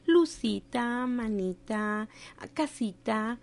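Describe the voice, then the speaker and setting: An older woman from Mexico with a Mexican accent, chatting warmly in a group, full of affectionate words and quick agreements. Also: a little high, expressive, creaky. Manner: warm, affectionate, many short agreements. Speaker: panelist. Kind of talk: casual panel chat.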